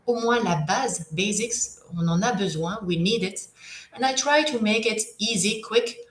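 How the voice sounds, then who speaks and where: A French woman in her thirties leads a live online lesson, mixing French and English. She speaks slowly and patiently, stopping often to hesitate and encourage her students. The voice sits at a middle pitch, with an echoey room around it. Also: a little hiss or hum. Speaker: French teacher.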